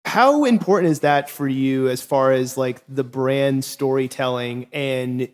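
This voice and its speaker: Male host, conversational, mid-pitched, rambling questions. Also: unhurried. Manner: rambling, self-correcting questions. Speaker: podcast host.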